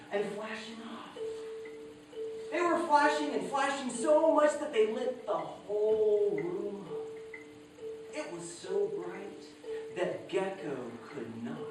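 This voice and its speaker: High-pitched male storyteller, very echoey. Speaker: storyteller and musician. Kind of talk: live folk-tale storytelling, informal introduction. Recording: very echoey, distant microphone.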